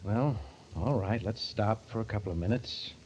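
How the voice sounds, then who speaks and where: An actor playing a cold, scheming killer in a 1940s radio thriller, with an intense, smoothly condescending manner. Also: male voice, fairly low pitch, moderate pace, a little mellow. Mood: sly, needling. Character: cold, scheming man confessing to a killing, sly and needling. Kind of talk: 1940s radio thriller acting, first-person narration and dialogue. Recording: muffled, noisy old radio recording.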